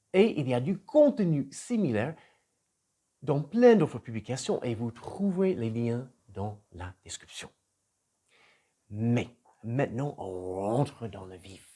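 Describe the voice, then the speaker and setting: Middle-aged American man, English trainer, emphatic motivational teacher, warm and mellow tone, very lively pitch. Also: slightly rough. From United States (Florida). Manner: animated and emphatic, swings between loud punches and soft drops. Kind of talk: motivational video lesson.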